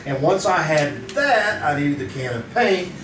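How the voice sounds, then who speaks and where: A man playing a confident, wry, quick-witted crime photographer in a 1940s-style radio drama. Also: fairly high pitch, animated, background noise. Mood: brisk explaining. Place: live theater stage. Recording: roomy, distant stage recording with music.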